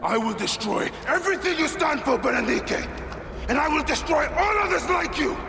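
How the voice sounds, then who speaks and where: An actor playing a grim, vengeful Egyptian warrior with forceful, impassioned delivery in a game cutscene. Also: male voice, very high-pitched, expressive, normal speed, vocal fry, echoey room, big-room sound, heavily acted. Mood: vengeful fury. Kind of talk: dramatic game cutscene acting.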